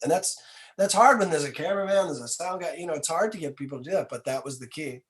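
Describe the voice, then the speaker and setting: Man, fairly high pitch, earnest, reflective, casual interview talk, filmmaker guest. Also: quick pace. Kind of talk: casual podcast conversation.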